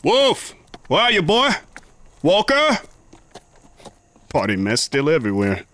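A man's voice: a little high, huge pitch swings, slow, fairly bright tone, exaggerated voice. A man playing a hearty, plain-spoken frontier neighbour in a radio drama. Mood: jovial calling.

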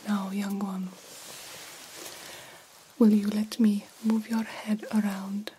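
A woman's voice: mid-range voice, little pitch movement, dark tone, slight background noise, lightly acted. A woman voicing an attentive, courtly vampire doctor in a whispered ASMR roleplay, soothing and formal in her phrasing. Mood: attentive, reassuring. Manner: slow, soothing, formal old-fashioned phrasing, reassuring.

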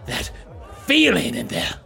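A man playing a grandiose, theatrical club boss in an audio drama, savoring his words in a creaky voice. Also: on the high side, wildly swooping pitch, a little slow, bright, forceful tone, exaggerated voice. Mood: grandiose, delighted.

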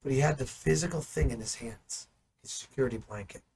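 A man in ministry teaching the Bible in conversation, animated and full of rhetorical questions. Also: mid-range voice, a little fast, gravelly, husky. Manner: animated, asks rhetorical questions, acts out dialogue.

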